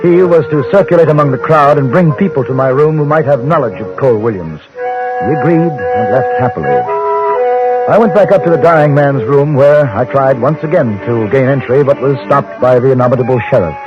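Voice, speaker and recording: A courteous, observant frontier correspondent played by a man in a vintage radio drama. His voice is medium-pitched, measured and literary, muffled by the old recording, with music clearly behind it. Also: lightly acted. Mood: reflective. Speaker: narrator and lead character. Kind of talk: old-time radio drama narration and acting.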